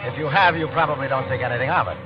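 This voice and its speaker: A man's voice: medium pitch, racing, natural voice. An actor playing a world-weary commuter who narrates his confession in a colloquial, brooding way for a 1940s radio drama. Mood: brooding, confiding. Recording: dull old 1940s radio recording, music behind.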